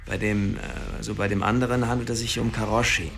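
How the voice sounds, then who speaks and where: A man playing a casual, brisk police colleague in a radio crime play, informal and matter-of-fact. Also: fairly low pitch, level tone, creaky, a bit bright, a little hiss or hum.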